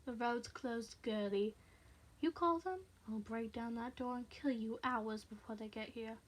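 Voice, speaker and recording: A woman's voice: fairly high pitch, unhurried. A woman voicing a menacing, irritable male henchman who bullies and taunts in an audiobook story. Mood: teasing menace. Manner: snapping, threatening, taunting.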